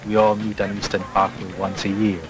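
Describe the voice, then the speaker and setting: Male narrator from the Caribbean, low voice, steady documentary read, loud music underneath. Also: fairly quick. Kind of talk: scripted narration.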